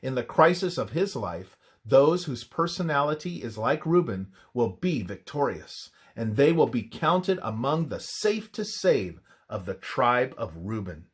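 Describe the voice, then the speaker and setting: A man reads a scripted religious text aloud as devotional narration, in a measured, emphatic scripture-reading manner. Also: mid-range voice, sing-song, slightly rough.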